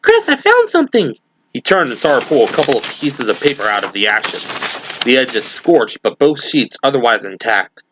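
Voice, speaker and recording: A man giving dramatic scripted narration for an audio drama, with deliberate, suspenseful phrasing. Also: medium pitch, background noise.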